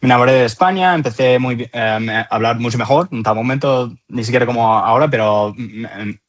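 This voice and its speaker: A man in his thirties with an American accent and English as his first language, answering interview questions in halting phrases with repeated words. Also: medium pitch. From Atlanta, southeastern United States. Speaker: company founder and CEO, interview guest.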